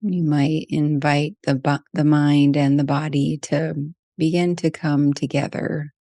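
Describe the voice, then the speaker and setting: Female meditation guide, soft-edged mellow tone, gentle spaced phrasing, close dry sound. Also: sits low, steady tone, no echo. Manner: slow, gentle pauses between short phrases.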